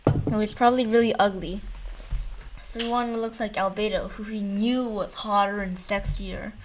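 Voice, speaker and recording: A woman in casual conversation, speaking at a middle pitch. She rambles in a slangy, joking way as she retells things. There is faint noise on the recording. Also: fairly even intonation, moderate pace, low-quality recording. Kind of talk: casual chat.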